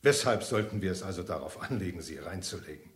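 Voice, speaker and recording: A scheming man in a radio crime play. His voice sits low in an echoing room. He speaks in a measured, hesitant way and gets curt and insistent when doubted. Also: expressive. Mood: smooth, controlled. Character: scheming, impatient man talking a suspicious woman round.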